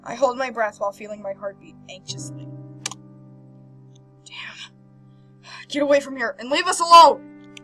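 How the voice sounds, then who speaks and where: A woman hosts a playthrough of a visual novel over soft game music. Her voice is fairly high and very bright. She reads the text aloud in an animated, casual way, often repeating a word as she goes. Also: a little slow, long pauses. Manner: animated, often repeating and stumbling over words while reading. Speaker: host of a video game playthrough. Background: game background music.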